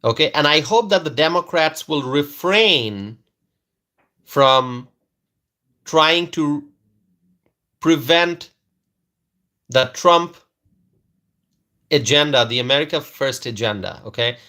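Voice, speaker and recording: Forceful man livestreaming politics. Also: a little high, lively intonation, slow pace, pauses often. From Bangladesh. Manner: repetitive, keeps adding "okay?" tag questions; hesitant fillers and restarts, greets viewers by name. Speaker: live stream host. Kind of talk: political commentary live stream.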